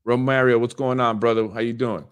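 A man's voice: mid-range voice, fairly even intonation, fairly quick, clear, resonant tone, dull audio. A man in his thirties hosting a casual political livestream from a small room at home, speaking earnestly with many fillers and repeated phrases.

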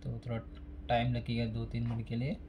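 A man's voice: medium pitch, completely flat delivery, brisk, noisy background. A man giving a casual step-by-step phone repair tutorial, speaking plainly and repeating each step while working at a desk. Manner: plain, repetitive, instructional.

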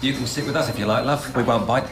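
A man's voice: mid-range voice, fast, lightly acted. An actor playing a grumbling, cheeky cameraman in a radio drama, blunt and offhand. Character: grumbling, cheeky, laddish film-crew cameraman. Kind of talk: naturalistic radio drama acting. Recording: faint location background noise.

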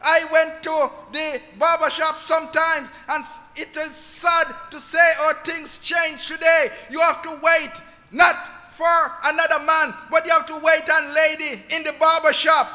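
High, bright-voiced fervent male preacher. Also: flat delivery, a little slow. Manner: impassioned, often shouting. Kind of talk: fervent sermon. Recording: long hall reverb.

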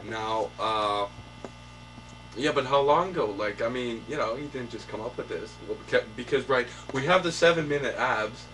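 A man's voice: mid-range voice, normal speed, a bit bright, faint music behind. A young man hosting a mock late-night talk show, mock-formal and hesitant, full of ums and restarts. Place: small indoor set, couch and table. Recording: distant camera audio with hum.

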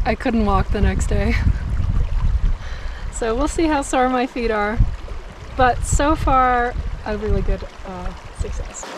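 A middle-aged woman chatting casually to her camera while hiking, relaxed and laughing often, recorded outdoors on a small action camera. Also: on the high side. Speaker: vlogger. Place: outdoors by a mountain lake and on a trail. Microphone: action camera, handheld or at arm's length. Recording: outdoor camera audio with stream and wind noise.

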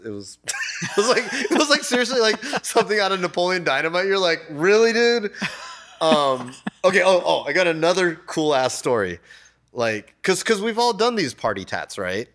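Relaxed rambling man in a tattoo shop. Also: on the high side. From United States. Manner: relaxed, rambling, many "like" and "you know" fillers. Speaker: tattoo artist, podcast guest. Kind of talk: casual interview talk. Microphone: desk mic on stand.

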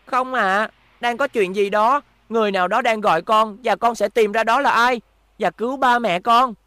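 A woman's voice: on the high side, normal speed, fairly bright tone, no room sound, natural voice. A woman voicing a brave, kind young robot boy hero in a cartoon dub, earnest and determined. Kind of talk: cartoon dubbing.

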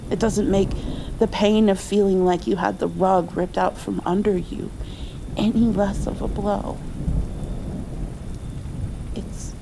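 A woman playing a loving mother who comforts her grown child in a tender, hushed ASMR roleplay. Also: mid-range voice, steady tone, slow, creaky, long pauses, background noise. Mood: sympathetic, soothing. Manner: tender, soothing, sometimes dropping toward a whisper.

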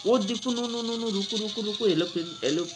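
A man's voice: high voice, moderate pace, music in the background. An Indian man improvising play-by-play narration of toy play for children in an exclamatory way, with frequent wows and interjections. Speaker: presenter of toy play videos.

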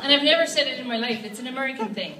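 A woman's voice: high voice, rapid-fire, very noisy. A young woman with an Irish accent doing a stand-up comedy set on a club stage, telling dry stories with punchlines into a handheld mic. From Ireland. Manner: wry, deadpan storytelling with punchlines. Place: comedy club stage before a live audience. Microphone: handheld mic, filmed from the audience. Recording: echoey club, from far off, with loud crowd laughter.

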